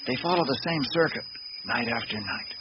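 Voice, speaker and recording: An actor playing an older male captain in a radio drama, a calm, patient investigator with a dry, matter-of-fact manner. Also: mid-range voice, normal speed, natural voice. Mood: quiet, patient. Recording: very noisy, dull radio drama recording.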